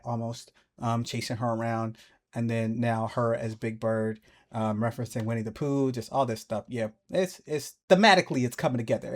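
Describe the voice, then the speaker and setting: A man talking casually as the host of a music reaction video, breaking down a song with animated asides. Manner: animated, jumps into high playful voices when excited.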